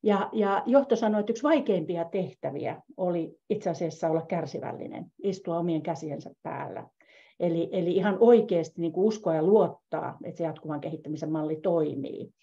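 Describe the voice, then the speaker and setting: A woman's voice: on the low side, dark tone. A middle-aged woman on a video call, speaking reflectively and repeating words as she thinks. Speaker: meetup participant. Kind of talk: casual discussion. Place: home room, indoors. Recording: dull lo-fi video call audio.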